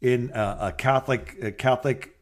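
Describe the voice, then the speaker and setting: Middle aged guy on a podcast call, medium pitch, rambles and stops and starts telling old stories. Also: fairly even intonation, moderate pace. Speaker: podcast co-host. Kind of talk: casual phone chat, storytelling.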